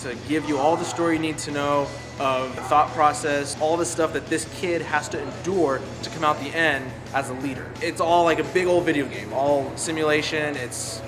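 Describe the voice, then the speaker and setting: A man with a slightly high voice hosts a casual film review show. He speaks informally, in short punchy phrases. Music plays clearly behind him. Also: fairly even intonation, moderate pace, few pauses. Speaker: host of a movie review show. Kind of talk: casual movie review commentary. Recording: loud music bed behind voice.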